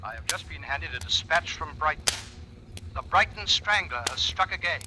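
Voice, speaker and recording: An actor playing a grave, brisk wartime newsreader delivering a formal, clipped bulletin in a 1940s radio drama. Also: male voice, fairly high pitch, expressive, lightly acted. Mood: grave urgency. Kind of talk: 1940s news bulletin acting. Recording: noisy vintage radio recording with clicks.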